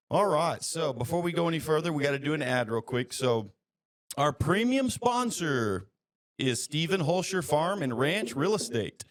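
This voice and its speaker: A man hosting a podcast in an informal, conversational way, chiming in and echoing back what he hears. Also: mid-range voice. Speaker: podcast host. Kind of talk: casual interview talk.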